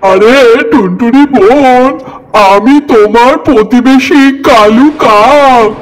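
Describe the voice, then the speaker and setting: A voice actor playing a lazy, scheming male crow in a children's cartoon, with a drawn-out, wheedling manner. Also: medium pitch, close to an everyday voice, rough recording. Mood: pleading.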